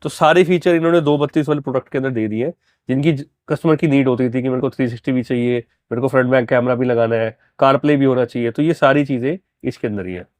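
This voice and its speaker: A man talks as a shop dealer, explaining products in a conversational way. His pitch is average for a man, and the recording is dry, with hardly any room sound. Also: fast talker, a little mellow, no room sound. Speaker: car audio dealer and installer.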